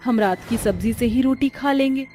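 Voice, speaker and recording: Woman acting a high-voiced, patient young mother. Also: moderate pace, warm tone, soft background music, lightly acted. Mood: earnest. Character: patient worried young daughter-in-law and mother. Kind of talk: Hindi cartoon story dubbing.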